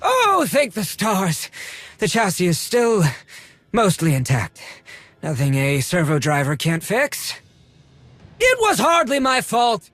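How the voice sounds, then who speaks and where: An actor playing a fussy, haughty collector and droid restorer in a video game, with a prim, formal manner. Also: male voice, high-pitched, huge pitch swings, slow, long pauses, fairly bright tone, soft background music, heavily acted. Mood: indignant, defensive.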